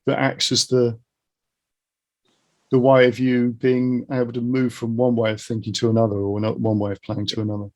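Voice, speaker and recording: A man's voice: medium pitch, little pitch movement, normal speed, darkish tone. A middle-aged man hosting a casual chat, musing informally and circling round his ideas. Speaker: interviewer, guitar teacher.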